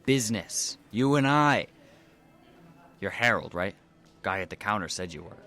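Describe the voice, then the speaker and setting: A man's voice: fairly low pitch, lively intonation, normal speed, sharp tone. An actor playing a down-on-his-luck, menacing convict's son in an audio drama, with a streetwise, casual, insinuating manner. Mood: pushy, needling.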